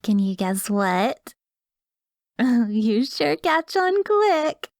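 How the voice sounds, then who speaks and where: A woman's voice: on the high side, sing-song, drawn-out words, no room sound, studio quality, full character voice. A woman acting a gushing, flirtatious date in an ASMR roleplay, with sweet, exaggerated delight.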